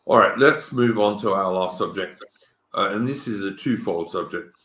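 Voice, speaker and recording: An English man hosting a casual online political chat, halting and searching for words, with many ums and restarts. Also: lowish voice, fairly bright tone, a little hiss or hum. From England. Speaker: host. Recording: echoey room.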